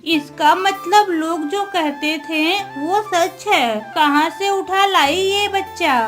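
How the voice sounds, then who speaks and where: A woman's voice: very high-pitched, fairly bright tone, full character voice. A woman playing a spiteful, nosy village woman in a cartoon story, accusing and taunting. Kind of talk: Hindi cartoon story acting.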